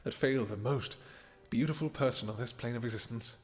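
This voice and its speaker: A man playing a devoted, guilt-ridden vampire king in an intimate romantic fantasy roleplay, speaking haltingly with emotion and formal, archaic endearments. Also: mid-range voice, soft background music, close to an everyday voice. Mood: anguished, remorseful. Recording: long hall-like reverb.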